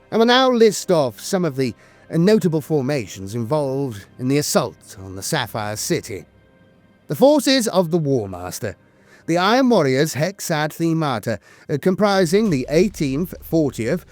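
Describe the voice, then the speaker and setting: Expressive higher-pitched man narrating dramatic lore. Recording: music bed behind a dry voice. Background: music.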